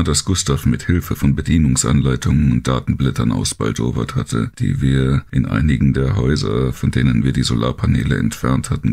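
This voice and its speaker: Very deep gravelly male narrator. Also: darkish tone. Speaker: audiobook narrator. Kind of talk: scripted first-person narration of a post-apocalyptic thriller.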